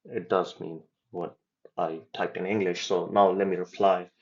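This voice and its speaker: A man explaining and demoing his software project in a casual walkthrough, hesitating with ums and restarts as he goes. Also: low-pitched, flat delivery, normal speed, muffled recording. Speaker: developer giving a project demo. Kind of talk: casual screen-share demo walkthrough.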